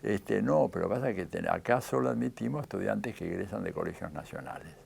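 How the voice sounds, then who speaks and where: A man's voice: lowish voice, fairly quick, gravelly, a little mellow, husky. An older man with a Rioplatense Argentine accent, telling his story in an interview and acting out the dialogue he quotes.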